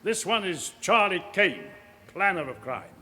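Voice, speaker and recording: An actor playing a senior police officer delivering a formal, clipped, authoritative briefing in a radio drama. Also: male voice, high-pitched, sing-song, a little slow, sharp tone, slight background noise, full character voice. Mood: grave, authoritative. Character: commanding male senior police officer briefing his men.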